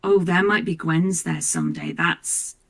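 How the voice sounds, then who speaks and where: A woman speaking casually and earnestly as an interview guest, stressing points by repeating words, with a creaky voice. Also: on the low side, huge pitch swings, unhurried, a bit bright. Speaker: voice actor, podcast guest. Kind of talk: casual, heartfelt interview chat.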